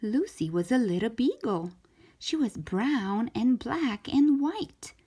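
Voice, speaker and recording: Expressive woman reading a children's story, lively pitch. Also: slightly rough. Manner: expressive, deliberate, stretches key words. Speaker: children's storybook reader, show host. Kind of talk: read-aloud storytelling for young children.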